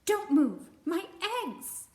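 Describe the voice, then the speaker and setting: A protective mother robin from a storybook, voiced by a woman who screeches her orders in a high, piercing voice. She is bossy and fierce. The sound carries an echoey room. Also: very animated, slow pace, full character voice. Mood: alarmed. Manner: screeching, sharp commands.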